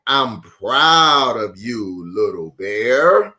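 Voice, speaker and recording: A man voicing a gentle, encouraging father bear from a storybook, reassuring and deliberate. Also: mid-range voice, expressive, pitched below the performer's own voice, heavily acted. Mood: proud, tender.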